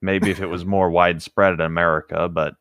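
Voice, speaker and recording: Male co-host, deep voice, dry close sound. Reads fiction aloud and drops in wry, easygoing asides. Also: level tone, fairly quick, a bit bright, smooth, no room sound. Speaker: co-host reading a novel aloud with commentary. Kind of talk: reading aloud with casual joking commentary.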